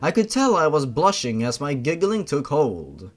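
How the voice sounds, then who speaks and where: A man's voice: a little high, huge pitch swings, normal speed. A man reading scripted horror fiction as a narrator, in a theatrical, dramatic way. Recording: music behind the voice. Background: music.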